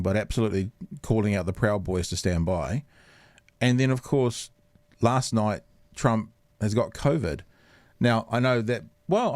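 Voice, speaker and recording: A man's voice: fairly low pitch, darkish tone, no room sound. A man hosting a radio interview, chatty and self-deprecating, rambling with lots of restarts. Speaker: radio host. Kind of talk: casual interview chat. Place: radio studio.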